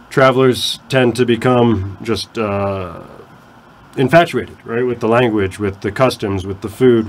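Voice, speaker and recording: Low-voiced man hosting a radio talk show. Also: slight background noise. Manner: explains at length with frequent restarts. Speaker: faculty radio host.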